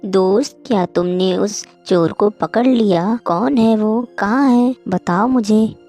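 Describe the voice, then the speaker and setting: A woman's voice: medium pitch, slow pace, warm tone, soft background music. A woman voicing a worried, polite male rabbit farmer in a cartoon moral story, pleading with friends. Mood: anxious.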